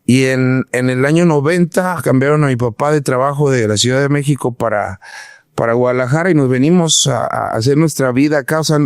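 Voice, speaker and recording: A man in his forties from Mexico City with a Mexican Spanish accent, telling his story as a podcast guest, halting and full of fillers. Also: mid-range voice, dry recording, studio quality. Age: 40s. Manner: halting, many restarts and fillers. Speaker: guest, former pro footballer. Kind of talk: casual podcast interview.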